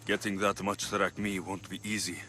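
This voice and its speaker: A man's voice: low voice, flat delivery, moderate pace, sharp tone, a little hiss or hum. A man playing a determined young male mercenary hero who talks directly and earnestly in a game cutscene. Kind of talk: video game cutscene acting.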